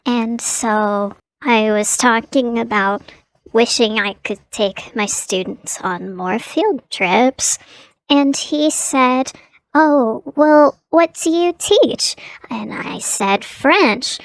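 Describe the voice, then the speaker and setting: A woman telling a casual story as a silly, chatty character through a pitch-shifting voice changer. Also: slow, dry recording, low-quality recording.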